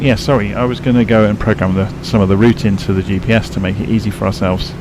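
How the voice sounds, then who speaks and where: A sleepy man with a croaky, gravelly voice, pitched a little low, walking viewers through a flight simulator cockpit. He rambles, corrects himself and explains as he goes, over a noticeable hiss of background noise. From England. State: croaky, tired voice. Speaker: flight sim streamer. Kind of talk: casual live-stream commentary.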